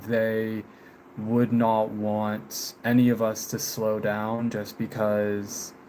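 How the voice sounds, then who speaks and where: Mid 20s guy streaming, talks slow n halting, kinda reflective, bit of noise in the bg. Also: medium pitch, warm tone, rough recording. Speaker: live streamer painting. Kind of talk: casual live stream chat answering viewers. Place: room with window blinds, indoors.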